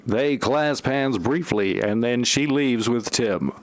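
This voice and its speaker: A man's voice: mid-range voice, takes it slowly. A man narrating a parody audio play from a script, with wry asides.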